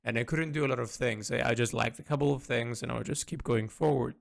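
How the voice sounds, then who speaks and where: A man with a gravelly, creaky voice at an average pitch records an unscripted audio log. He speaks earnestly and reflectively, often halting and doubling back on words as he works out his thoughts. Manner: halting, repeats words as he thinks aloud; earnest, introspective.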